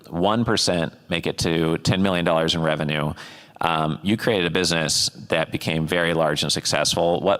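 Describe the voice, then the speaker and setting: A male host interviewing guests, speaking deliberately with frequent pauses and fillers. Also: deep voice, big-room sound. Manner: deliberate, with frequent ums and uhs. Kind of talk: interview questions.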